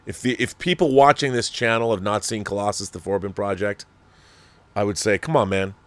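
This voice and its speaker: A man's voice: fairly low pitch, steady tone, fairly quick, fairly bright tone. A man hosting an online talk show, speaking in an emphatic, conversational and opinionated way as he reads and answers viewer mail.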